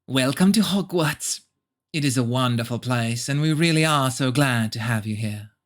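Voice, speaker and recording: A man's voice: mid-range voice, moderate pace, close to an everyday voice. A man voicing a sweet, obedient young girl with an innocent, eager and polite manner. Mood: shy, earnest.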